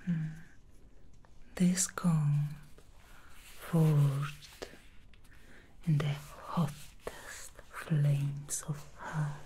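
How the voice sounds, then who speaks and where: Woman whispering as a seductive demon hairdresser, low, unhurried, mellow, faint hiss, close mic. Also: little pitch movement, poor audio. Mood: alluring. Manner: slow, teasing and alluring. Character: seductive female demon hair stylist from hell. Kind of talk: ASMR roleplay. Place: indoor studio set. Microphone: close ASMR microphone.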